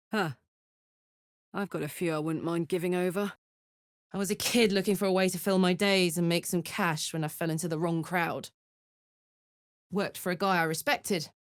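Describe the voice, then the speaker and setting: A woman's voice: fairly low pitch, no echo, clean studio sound. A woman playing a brash, big-hearted warrior in a fantasy video game, speaking bluntly, earnestly and expressively.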